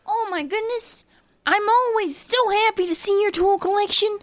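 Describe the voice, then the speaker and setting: A fawning little sidekick in a radio comedy. The voice is extremely high and chirpy, gushing with flattery, in a dry close recording. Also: female voice, normal speed, no echo. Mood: fawning, eager. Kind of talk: comedy radio play acting.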